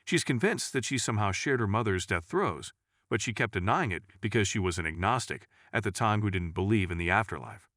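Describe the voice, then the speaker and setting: A man's voice: fairly low pitch, expressive, fairly quick, fairly bright tone, no echo, studio quality. A man reading scripted narration of true stories as a storyteller.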